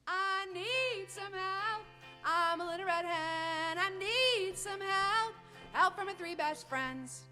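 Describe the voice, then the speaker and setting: Lady doing a little storybook hen, high voice, pleading but sweet-natured, singsongy, bit of music. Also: sing-song, moderate pace. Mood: pleading, singsong. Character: small hardworking female hen, plaintive but forgiving. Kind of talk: sing-along children's storytelling.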